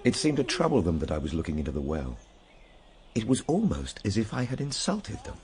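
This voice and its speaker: An actor playing a reflective gentleman traveller, narrating his journey in a measured, literary, solemn manner for a radio drama. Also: on the low side, wildly swooping pitch.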